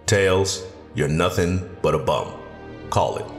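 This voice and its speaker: A man's voice: sits low, takes it slowly. A man playing a stern, fatherly older garage owner and community leader in an audio drama. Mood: fond. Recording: long reverb with faint music.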